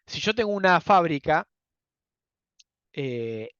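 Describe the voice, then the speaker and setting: A man's voice: fairly high pitch, lively intonation, takes it slowly, fairly bright tone, clear, resonant tone, no echo, dull audio. A young Argentine man teaching an economics class, explaining step by step with many filler words and checks that students follow. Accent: Argentine Spanish. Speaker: economics lecturer. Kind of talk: university lecture.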